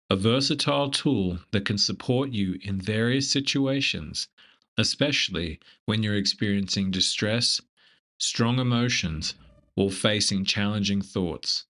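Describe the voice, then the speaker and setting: A man leading a scripted guided meditation in a gentle, measured, instructive manner. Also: on the low side.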